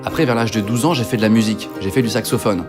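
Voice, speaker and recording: A man's voice: lowish voice, rapid-fire. Young guy from northern france, rattles through a funny life story, music under it. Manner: jokey and self-mocking. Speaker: video creator. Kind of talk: comic autobiographical voice-over. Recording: voice-over with music behind.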